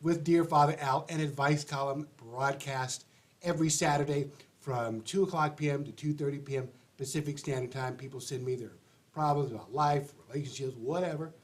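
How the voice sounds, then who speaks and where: A man's voice: mid-range voice, fairly even intonation, normal speed. A man hosting an advice show, sounding congested and stuffy-nosed, talking in a rambling, chatty way with restarts. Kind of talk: casual livestream monologue.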